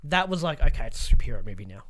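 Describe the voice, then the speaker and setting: A man's voice: medium pitch, big pitch swings, rapid-fire, a bit bright. A man co-hosting a casual movie podcast, giving blunt opinions with plenty of swearing. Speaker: podcast co-host.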